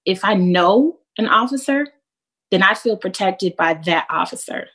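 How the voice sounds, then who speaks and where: A woman's voice: medium pitch, animated, unhurried, fairly bright tone. A woman giving a reflective personal account as a panelist. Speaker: panelist, lawyer.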